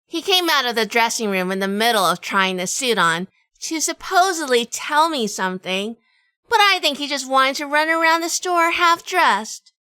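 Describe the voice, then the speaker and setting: A woman's voice: high voice, expressive, normal speed, bright, forceful tone, clear, resonant tone, no echo. A woman playing a wry, world-weary detective in a noir audio drama, with a dry, sardonic delivery. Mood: wry, narrating. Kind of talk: hardboiled noir radio drama narration and dialogue.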